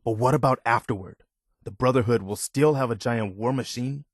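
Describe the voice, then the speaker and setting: An actor playing an earnest, loyal and idealistic militia officer in a video game, measured and plain-spoken. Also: male voice, mid-range voice, husky, dry recording, studio quality, natural voice. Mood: indignant, challenging. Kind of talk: video game character dialogue.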